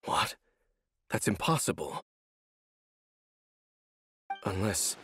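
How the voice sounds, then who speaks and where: Gravelly, brooding game wanderer. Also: male voice, on the low side, a bit bright, dry recording, full character voice. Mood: troubled. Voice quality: gravelly, husky. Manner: solemn, measured, cryptic. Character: brooding, secretive male wanderer, grave and world-weary. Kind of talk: video game voice acting.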